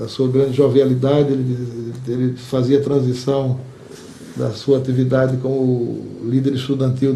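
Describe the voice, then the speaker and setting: An older man with a Brazilian Portuguese accent gives measured, formal answers as an association's outgoing president, choosing words deliberately and repeating some as he goes. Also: medium pitch, dark tone.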